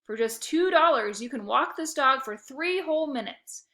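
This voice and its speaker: A woman's voice: high-pitched, lively intonation, normal speed, bright, forceful tone, voice pushed up. A woman voicing a cocky schoolboy hustler who talks in a brash, salesy way.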